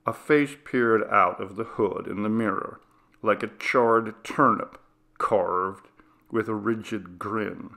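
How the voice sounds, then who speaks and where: A man reading a ghost story aloud, in a slow, measured and grave way. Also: fairly low pitch, vocal fry, bright, forceful tone. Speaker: reader. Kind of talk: scripted ghost story narration.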